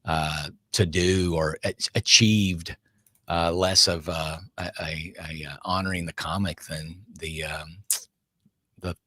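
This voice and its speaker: Low-voiced, halting male reviewer. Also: fairly even intonation, bright, forceful tone, breathy edge, dry recording, studio quality. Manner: halting, searching for words. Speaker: film review panelist. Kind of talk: casual panel discussion.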